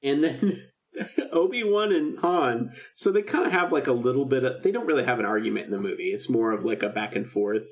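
A man with a mid-pitched voice co-hosts a podcast in a loose, chatty way. He retells scenes informally and animatedly, slipping into mock dialogue as he goes. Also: animated, fairly quick. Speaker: podcast co-host. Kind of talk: casual chat about a film radio drama.

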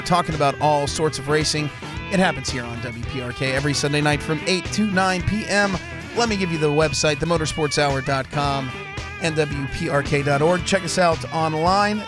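A man with laryngitis hosting a casual motorsport show on college radio, rambling and often restarting his sentences. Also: a little high. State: hoarse from laryngitis. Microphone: studio microphone.

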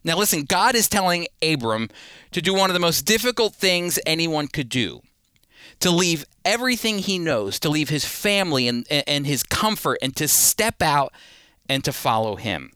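A man's voice: on the high side, sing-song, moderate pace, sharp tone, clear, resonant tone, dry recording, clean studio sound. A man hosting and teaching the Bible in a conversational, earnest, emphatic way, speaking directly to listeners. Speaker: radio Bible teacher and host.

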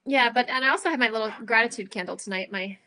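A woman co-hosting a casual chat, calmly explaining breathing practice. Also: a little high, quick pace.

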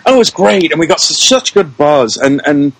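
A man's voice: fairly high pitch, very animated, normal speed, fairly bright tone, husky, no room sound, poor audio. A man speaking as a guest in a casual interview, chatting about his own work. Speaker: interview guest, show producer.

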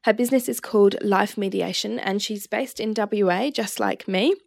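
A woman's voice: mid-range voice, normal speed, gravelly, darkish tone, no room sound. An Australian woman hosting a podcast, introducing the episode and guest in an articulate, enthusiastic way. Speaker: podcast host.

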